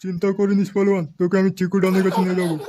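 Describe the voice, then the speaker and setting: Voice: mid-range voice, level tone, racing, close to an everyday voice. A performer voicing a boastful, vengeful tough friend in a comedy cartoon, blustering and crude. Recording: dull lo-fi sound, faint noise.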